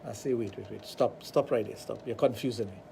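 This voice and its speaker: A man with a Trinidadian accent, hosting a radio talk show and telling animated stories with acted-out dialogue. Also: on the low side, fairly quick, vocal fry, slight background noise. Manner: animated storytelling, acts out dialogue. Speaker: radio show host, sexologist.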